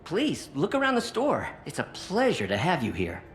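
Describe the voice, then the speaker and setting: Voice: medium pitch, wildly swooping pitch, moderate pace, soft background music. An actor playing an eager, starstruck alien shopkeeper in a video game, with a gushing sales manner. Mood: excited welcome.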